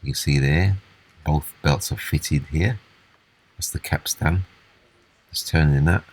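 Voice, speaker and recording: Very deep-voiced husky man. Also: slow. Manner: unscripted, step-by-step explaining. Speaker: repair demonstrator. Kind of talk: casual hands-on repair walkthrough.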